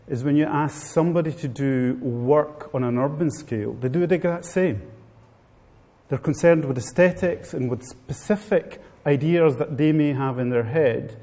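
A man's voice: on the high side, dark tone. Italian-accented man in an echoing hall. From Italy. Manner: informal, wry, addresses the audience directly. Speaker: guest speaker. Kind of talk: live seminar talk. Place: seminar room with live audience. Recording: long hall reverb.

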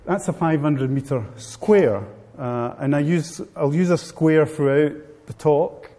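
A man's voice: on the high side, takes it slowly, dark tone. A middle-aged man with an Italian accent, giving a wry, informal talk to a live seminar audience. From Italy. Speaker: guest speaker. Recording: long hall reverb.

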